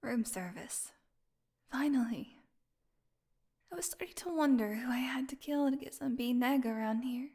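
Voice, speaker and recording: A woman's voice: high-pitched, moderate pace, long pauses, dark tone, slightly rough. A woman playing a snarky, defiant, starved vampire prisoner in an audio roleplay, with a sarcastic, taunting drawl. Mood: sardonic, weary.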